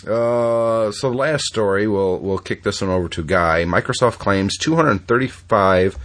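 Host, low man's voice, stuffy and congested, halting with lots of ums. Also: fairly bright tone. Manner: halting, many fillers and restarts. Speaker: podcast host. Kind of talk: casual podcast chat.

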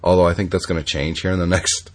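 Host, low man's voice, stuffy and congested, halting with lots of ums. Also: little pitch movement, a little hiss or hum. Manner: halting, many fillers and restarts. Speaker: podcast host. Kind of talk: casual podcast chat.

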